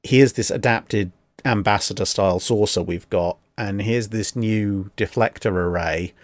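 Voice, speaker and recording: Guy w/ a lowish voice, dry recording, rambles thru his thoughts in a casual chat. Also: unhurried, no room sound. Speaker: discussion guest.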